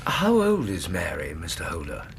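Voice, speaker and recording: An actor playing a mild, thoughtful Victorian doctor in a radio drama, gently questioning. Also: male voice, low-pitched, huge pitch swings, moderate pace, creaky, slight background noise, exaggerated voice.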